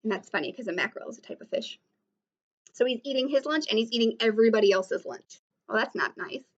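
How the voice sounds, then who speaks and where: A woman teacher reading a picture book aloud to children, pausing to explain and ask questions. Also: a little high, highs cut off. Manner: explanatory, asks listeners questions. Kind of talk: read-aloud storytelling with asides to children.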